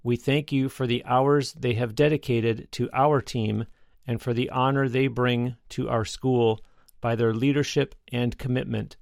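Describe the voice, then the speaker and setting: Gravelly-voiced radio host, dry studio sound. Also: male voice, mid-range voice, fairly even intonation, unhurried, dry recording. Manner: affable, leads prayer. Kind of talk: interview and closing prayer.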